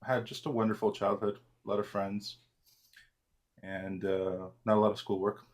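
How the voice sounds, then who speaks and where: Low-voiced man in his forties. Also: normal speed. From Argentina. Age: 40s. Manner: relaxed, self-deprecating, frequent fillers and restarts. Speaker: trader, interview guest. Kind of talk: casual interview answers.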